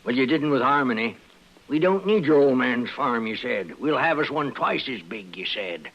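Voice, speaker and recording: An American actor playing a stubborn, grudging old rancher in a 1950s radio western, with folksy, cantankerous rural speech. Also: male voice, mid-range voice, lively intonation, normal speed. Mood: bitter, scornful. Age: older. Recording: dull vintage radio recording.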